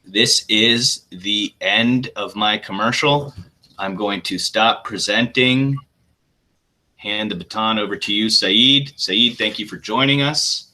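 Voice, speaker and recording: A man hosting a webinar over a video call, introducing the guest in a relaxed way with fillers. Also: on the low side, slow, rough recording. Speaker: webinar host. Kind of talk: casual introduction.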